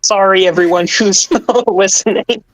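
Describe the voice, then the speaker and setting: A woman chatting casually on a podcast, cracking quick playful jokes. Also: medium pitch.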